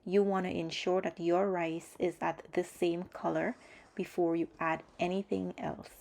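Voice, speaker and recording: A woman with a fairly low voice and some creak in it narrates cooking instructions. She explains things step by step in an orderly way, as the host of a home cooking channel. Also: level tone, a little slow, darkish tone.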